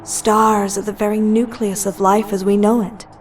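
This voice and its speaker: A woman's voice: medium pitch, level tone, normal speed, faint music behind, natural voice. A woman playing a weary, godlike superheroine in an audio drama, grave, resolute and dramatic. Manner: solemn, dramatic.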